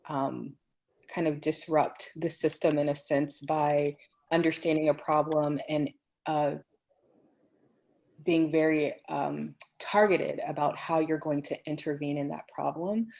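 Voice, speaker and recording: A woman answering questions in an online webinar, explaining at a measured pace with frequent ums. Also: deep voice, steady tone, gravelly, a little mellow, low-quality recording. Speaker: program faculty answering questions. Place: home office on a video call. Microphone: computer microphone.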